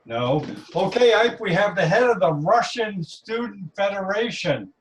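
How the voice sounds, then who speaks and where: A man moderating an online meeting as host, haltingly calling on participants over a video call. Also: high voice, lively intonation. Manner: halting, calls on people by name. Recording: noisy video call with clicks.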